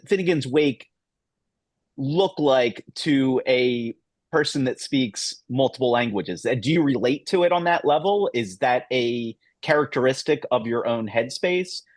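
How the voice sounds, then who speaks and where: A man's voice: medium pitch, no room sound. Man moderator, hesitant and rambling, dry close laptop mic. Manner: rambling, hesitant, many fillers and restarts. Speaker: panel moderator. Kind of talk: video call panel discussion. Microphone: laptop mic on video call.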